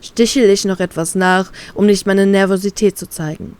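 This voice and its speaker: A woman reads her first-person romance story aloud in a calm, steady way. Her voice sits at a middle pitch, neither high nor low. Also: normal speed, smooth. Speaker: fan fiction reader.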